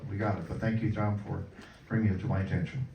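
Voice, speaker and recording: A man's voice: fairly low pitch, fairly even intonation, quick pace, gravelly, highs cut off. A man acting as master of ceremonies, teasing and joking with the guests as he introduces them. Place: church hall at a commemoration event. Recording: echoey hall from far off, crowd behind.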